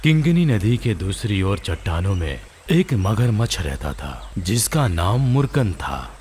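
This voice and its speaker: A man's voice: fairly low pitch, sing-song, a little slow, darkish tone, a little hiss or hum. A man narrating a children's story as a storyteller. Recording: music behind the voice.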